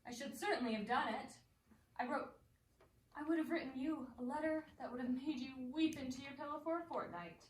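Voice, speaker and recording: An actress playing a worldly, amused stage actress in a play, speaking with poised, gently mocking wit. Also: female voice, high voice, fairly quick, close to an everyday voice. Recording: roomy, distant mic, faint noise.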